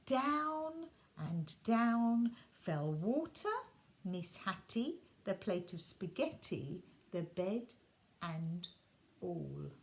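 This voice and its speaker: A woman reading a children's bedtime story aloud, gentle and expressive, sometimes dropping her voice soft. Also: medium pitch, drawn-out words.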